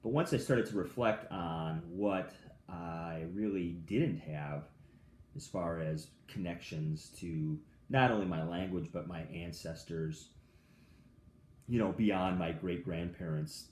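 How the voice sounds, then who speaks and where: An American man in a reflective solo monologue, pausing often with ums and restarting sentences as he thinks aloud. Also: deep voice, darkish tone. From United States. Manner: hesitant, many ums and restarts. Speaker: vlogger. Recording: echoey room with faint ambient noise.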